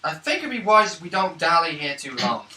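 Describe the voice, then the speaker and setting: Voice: medium pitch, big pitch swings, fairly quick, bright, forceful tone, far from the mic. A tabletop game player chatting casually, with a sardonic, exasperated manner. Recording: noisy echoey tabletop recording.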